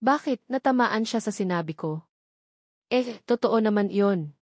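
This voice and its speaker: A woman's voice: medium pitch, animated, unhurried, dry recording, clean studio sound. Woman voicing a jealous, hot-tempered wife. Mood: angry, defiant. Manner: confrontational, indignant.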